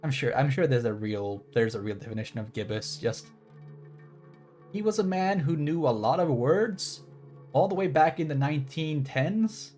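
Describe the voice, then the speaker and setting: A man's voice: a little high, lively intonation, normal speed, a little mellow, soft background music. Rambling, wry male game streamer. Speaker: livestreamer playing a game. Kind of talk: casual game commentary.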